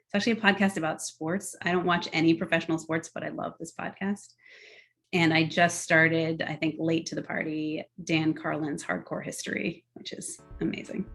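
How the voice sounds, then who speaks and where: A woman's voice: deep voice, level tone, normal speed, vocal fry, fairly bright tone, soft background music. A middle-aged woman answering podcast interview questions in an articulate, earnest, enthusiastic way. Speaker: guest, tech policy executive.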